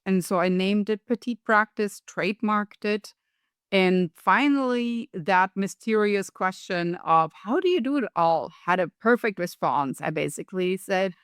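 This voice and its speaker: A middle-aged woman with a European accent talks as an interview guest, upbeat and emphatic. Her voice sits at an ordinary pitch for a woman. The sound is dry and close, with no room echo. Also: smooth. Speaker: podcast guest, coach. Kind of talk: conversational interview.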